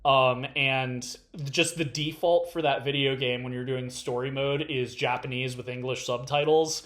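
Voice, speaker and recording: A man's voice: mid-range voice, moderate pace. A man with a Californian accent chatting casually as a podcast co-host, full of fillers and restarts. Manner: rambling, filler-heavy, animated. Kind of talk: casual conversation.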